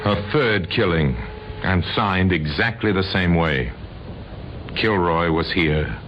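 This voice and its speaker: A man narrating an old-time radio crime drama in a grave, deliberate, dramatic manner with a sardonic edge. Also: low-pitched, animated. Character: grave, sardonic male narrator of murder cases. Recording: dull, noisy old radio recording.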